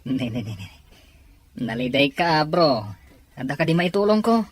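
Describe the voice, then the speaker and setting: A woman playing a frank, consoling, brotherly confidant with a husky voice in a drama. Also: deep voice, sing-song, moderate pace, no echo, heavily acted. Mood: concerned. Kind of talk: acted dialogue drama.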